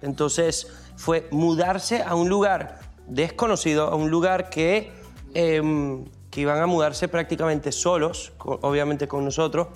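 A young man talking thoughtfully on a family podcast, pausing often with hesitant fillers. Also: fairly high pitch, fairly even intonation, soft background music. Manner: thoughtful, hesitant, many ums. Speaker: son, guest.

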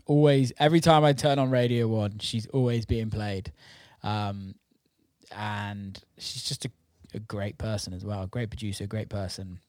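A man's voice: lowish voice, fairly even intonation, normal speed, a little mellow, no room sound, clean studio sound. A man hosting an interview show, chatting in an informal, slangy way. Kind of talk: casual conversational interview.

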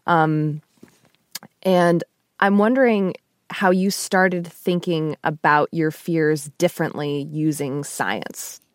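Lower-voiced woman hosting, close dry sound. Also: takes it slowly, dry recording. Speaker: podcast host and interviewer. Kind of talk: conversational podcast interview with some narration.

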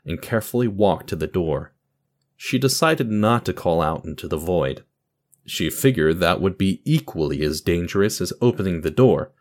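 A man who hosts an audio drama podcast, reading scripted narration and announcements in a dramatic storytelling way. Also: low-pitched.

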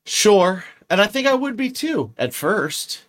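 A man's voice: high-pitched, very animated, unhurried, a bit bright. A man co-hosting a podcast in casual chat, rambling and restarting his sentences and swearing freely. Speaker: podcast co-host.

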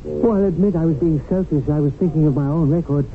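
Earnest male guardian spirit played by a man, slightly high pitch, confiding, rueful, 1970s radio drama, narrow phone-like sound. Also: quick pace, slight background noise, lightly acted. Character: earnest, worried male guardian spirit telling his story. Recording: thin 1970s radio recording with music. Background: music.

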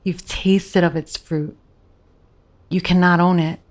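A woman's voice: on the low side, fairly even intonation, slow. A woman acting in an audio drama as a scheming lover who feigns sweet devotion, coaxing and intimate. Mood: romantic.

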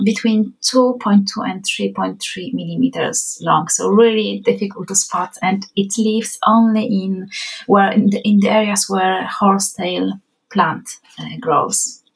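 Polish lady guest, husky gravelly voice, soft and easy, lots of little uhs. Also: medium pitch, poor audio. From Poland. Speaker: guest, children's book author. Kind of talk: casual interview chat.

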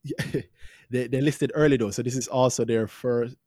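A man's voice: medium pitch, steady tone, normal speed, a little mellow, no echo, studio quality. A Jamaican man co-hosting a podcast, chatting informally about finance in a hesitant, self-repeating way. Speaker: podcast co-host reviewing stocks. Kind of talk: casual unscripted financial chat.

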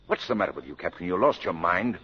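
An actor playing a stern, pressured city mayor in a 1950s radio drama, speaking formally and with authority. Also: male voice, sits low, expressive, quick pace, sharp tone, slight background noise. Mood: stern, irritated. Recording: muffled 1950s radio broadcast.

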